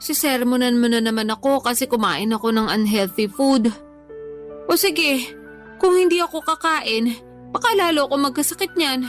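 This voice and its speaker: A high-pitched, stubborn young woman played by an actress in a radio drama. She talks in a plaintive, defensive, conversational way over faint background music. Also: moderate pace, lightly acted. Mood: defensive, hurt. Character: defensive, self-pitying young woman who loves eating.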